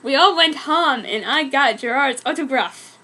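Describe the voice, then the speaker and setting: A woman's voice: sits high, a little slow, bright, forceful tone, slight background noise. A woman giving a dramatic comic reading of fan fiction, amused yet mock-serious. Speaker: reader. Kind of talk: dramatic comic narration of a fanfic.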